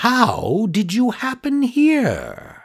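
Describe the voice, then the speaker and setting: A man's voice: extremely high pitch, huge pitch swings, very slow, gravelly, breathy edge, pitched above the performer's own voice, heavily acted. A man voicing a sly, scheming male fox in a storybook reading, drawn out and theatrical. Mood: gushing.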